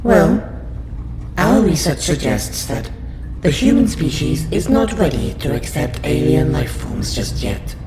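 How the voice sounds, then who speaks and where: Man playing a polite alien scientist, lively and echoey. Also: fairly high pitch. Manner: formal, precise, courteous, measured. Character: polite, patient male alien scientist abductor interviewing a human. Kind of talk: audio roleplay addressed to the listener. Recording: roomy echo, loud background music bed. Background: music.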